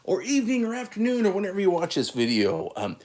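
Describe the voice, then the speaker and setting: A man's voice: high voice, huge pitch swings, fast, a little mellow. A man from California hosting a casual coffee live stream, easygoing and folksy, with many fillers and restarts. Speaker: coffee channel host.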